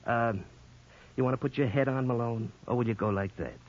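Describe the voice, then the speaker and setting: An actor playing a wisecracking, needling police lieutenant with sarcastic banter, in a 1950s radio drama. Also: male voice, medium pitch, fairly quick, darkish tone, lightly acted. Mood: teasing. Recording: muffled old radio recording.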